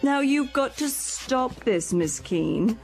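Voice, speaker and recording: A woman's voice: sits high, lively intonation, slow pace, soft background music, heavily acted. A woman playing a patient carer in a radio drama, reasoning in a soothing but firm manner. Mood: firm, chiding.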